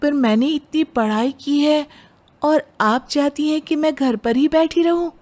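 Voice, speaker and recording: A woman's voice: sits high, a little slow, a little mellow, voice pushed up. A woman voicing an educated young bride in a moral story, outspoken and determined yet respectful. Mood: protesting. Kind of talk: dramatized Hindi moral story.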